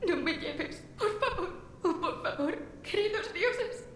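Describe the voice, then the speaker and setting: An actress voicing a frightened young woman, a sister who pleads in a breathy whisper in a video game. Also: very high-pitched, expressive, slow pace, echoey room, slight background noise, heavily acted. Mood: pleading.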